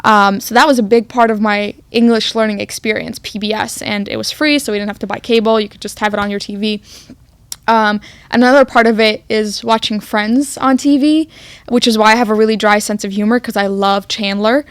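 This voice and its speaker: A young woman from Egypt, with Arabic as her first language, co-hosting a casual chat podcast. She talks in a relaxed way, with fillers and long pauses while she thinks. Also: mid-range voice, dry recording. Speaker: podcast co-host.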